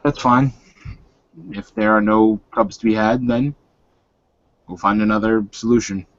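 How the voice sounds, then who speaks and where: A man voicing a wry, gruff, teasing male werewolf pack leader in a tabletop game, speaking in a dry, deliberate way. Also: fairly low pitch, steady tone, dull audio, lightly acted.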